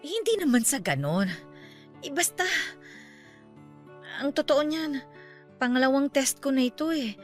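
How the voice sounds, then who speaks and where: A middle-aged aunt in a radio drama, fretful and hesitant. Her fairly high voice swings widely in pitch, with music playing underneath. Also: big pitch swings, unhurried, long pauses. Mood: nervous, hesitant. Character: anxious middle-aged aunt, newly pregnant, hesitant and doubtful. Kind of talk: Tagalog radio drama acting.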